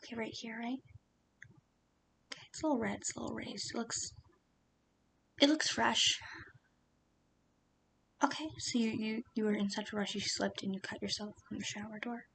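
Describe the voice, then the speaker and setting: A woman playing a soft-whispering female detective. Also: normal speed, gravelly, long pauses. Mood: probing. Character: calm, patient female police detective. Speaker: interrogator. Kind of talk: ASMR roleplay. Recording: steady low rumbling noise.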